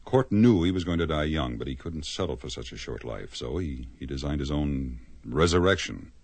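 An actor playing a grave, secretive doctor and loyal friend in a scripted drama. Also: male voice, extremely low pitch, animated, moderate pace, darkish tone, husky, no echo, exaggerated voice. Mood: grave, hurried.

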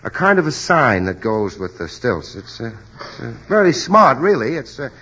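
An actor playing a hard-up stage actor in a 1940s radio drama, talking bashfully with stammers and hesitations. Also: male voice, mid-range voice, big pitch swings, moderate pace, bright, forceful tone, slightly rough, exaggerated voice. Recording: dull lo-fi old radio recording.

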